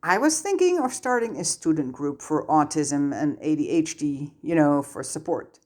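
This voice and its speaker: A woman's voice: sits low, lively intonation, moderate pace, a little mellow. A woman reading the part of a self-assured, scheming teenage schoolboy wizard in a formal, composed way for a story. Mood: composed, persuasive.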